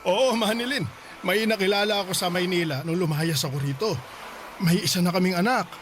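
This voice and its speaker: A man's voice: sits high, fairly quick, fairly bright tone, slight background noise. A man playing a shameless middle-aged father who talks smugly and mocks others in a radio drama. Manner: smug, mocking, laughing.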